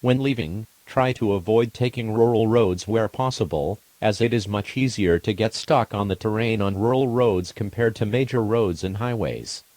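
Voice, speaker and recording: A man voicing an impersonal official emergency broadcast announcer, reading a formal alert in a measured way. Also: fairly low pitch, darkish tone, dry recording, close to an everyday voice. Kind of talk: scripted emergency alert narration.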